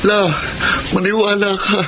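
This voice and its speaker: A man's voice: high-pitched, completely flat delivery, unhurried, music in the background. A man playing a desperate, remorseful young grandson. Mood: pleading. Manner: desperate, pleading. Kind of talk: Filipino radio drama acting.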